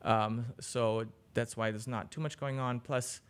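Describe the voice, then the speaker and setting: A man, answering interview questions casually as a journalist, often pausing mid-sentence. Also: mid-range voice, fairly even intonation, smooth. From Germany. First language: German. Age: 40s. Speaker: interview guest, business journalist.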